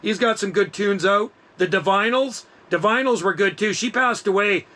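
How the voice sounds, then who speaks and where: A man's voice: high-pitched, moderate pace, pushed and bright. A middle-aged man chatting casually as an amateur radio operator, enthusiastic and slangy. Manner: enthusiastic, laid-back slangy talk.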